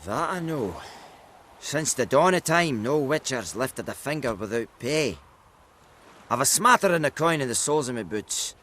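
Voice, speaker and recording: A man's voice: mid-range voice, animated, moderate pace, pushed and bright, a little hiss or hum. An actor playing a wordy, down-on-his-luck travelling merchant in a video game, pleading in a theatrical manner.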